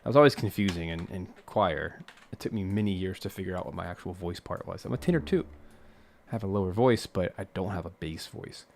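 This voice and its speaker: A man composing on a live stream, chatting casually, humming lines and counting off beats with joking asides; a baritone who sings tenor. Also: brisk, gravelly, darkish tone.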